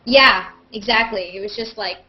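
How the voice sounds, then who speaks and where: A woman's voice: normal speed, slight background noise. A woman chatting casually and jokingly as the host of a group video hangout. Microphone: video call. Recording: muffled low-fidelity video call audio.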